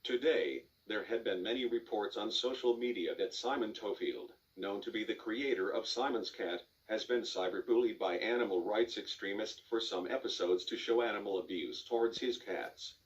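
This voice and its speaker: Low-voiced male newsreader, dull distant recording. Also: moderate pace, hardly any pauses. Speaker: news announcer. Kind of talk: scripted news bulletin.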